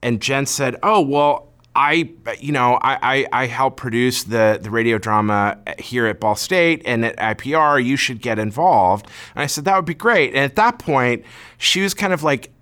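A man speaks casually in an interview at an average male pitch. His voice is bright and keen-edged, and he recounts his experience in a loose, anecdotal way. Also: expressive, moderate pace. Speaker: playwright and theater director being interviewed.

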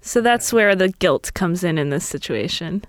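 A woman's voice: fairly low pitch, lively intonation, moderate pace, no room sound, studio quality. A woman who works in radio answering interview questions conversationally, with careful phrasing. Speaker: radio host appearing as segment guest.

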